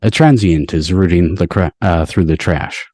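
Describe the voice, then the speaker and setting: A man's voice: low voice, normal speed, warm tone, no echo, studio quality. A man reading stage directions as the narrator of a scripted table read. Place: video call from indoors.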